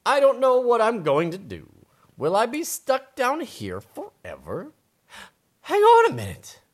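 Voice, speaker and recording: A woman voicing a small, excitable storybook mole in a sing-song, exaggerated, plaintive way. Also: fairly high pitch, a little slow, voice pushed up, exaggerated voice. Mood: pleading, eager. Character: small excitable cartoonish mole, chanting and pleading, storybook character.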